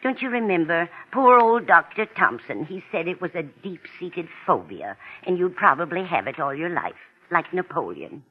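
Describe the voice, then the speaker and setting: An actress playing a doting, sharp-witted old aunt who probes gently, in a 1940s style radio drama. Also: female voice, fairly low pitch, sing-song, moderate pace, hardly any pauses. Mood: gently suspicious. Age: older. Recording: muffled old radio recording, faint hiss.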